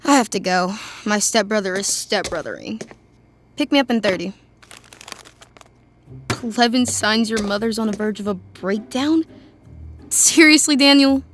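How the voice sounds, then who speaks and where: A woman's voice: mid-range voice, a little slow, long pauses, a bit bright, slight background noise, lightly acted. An actress playing a gossipy, breezy teenage girl with slangy, sarcastic speech. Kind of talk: casual audio drama dialogue.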